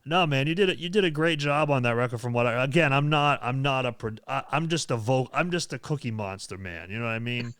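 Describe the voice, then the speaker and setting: A man's voice: mid-range voice, fast, hardly any pauses, fairly bright tone, smooth, dry recording, studio quality. A man hosting a casual podcast chat, rambling with frequent restarts and easy laughs. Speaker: podcast host and metal vocalist.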